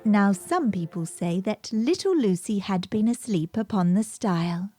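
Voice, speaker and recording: A woman narrating a scripted children's story, measured and expressive, with dramatic emphasis. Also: medium pitch. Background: gentle background music.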